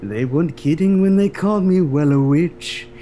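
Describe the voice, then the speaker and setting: A man voicing a sly, scheming female sea witch, singing and speaking slowly in a lower tone, recorded a bit away from the mic. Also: mellow, a little hiss or hum, lightly acted. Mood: purring, sly.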